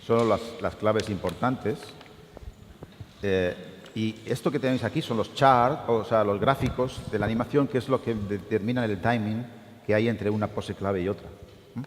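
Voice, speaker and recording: Echoey, low-voiced man explaining. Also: moderate pace. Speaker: veteran animator guest speaker. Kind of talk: informal talk explaining animation technique. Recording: echoey hall with clear background noise.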